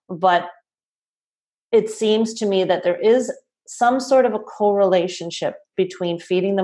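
A woman from Toronto, a health practitioner, gives measured explanations and is careful to call her ideas observations. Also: on the low side, a little mellow. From Toronto, Canada. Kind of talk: explanatory interview answers.

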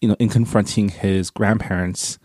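A man with a North American accent and a fairly low voice talks in a casual group discussion. He thinks aloud reflectively and often checks that others follow. The sound is dry and close. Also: steady tone, normal speed, darkish tone, slightly rough, no room sound. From born in Canada, grew up in America. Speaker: book discussion panelist.

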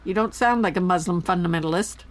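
A woman's voice: fairly low pitch, fast talker, natural voice. An actress playing a chatty, anxious, naive older mother in an audio comedy, rambling and nosy. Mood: nosy, flustered. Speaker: actor in an audio drama. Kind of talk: naturalistic audio comedy acting.

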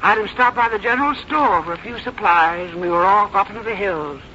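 An actress playing a plain-spoken old country mother, folksy, wry and quietly determined, in 1950s radio drama style. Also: mid-range voice, brisk, lightly acted. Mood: pleased, reminiscing. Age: older. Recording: dull, noisy 1950s radio recording.

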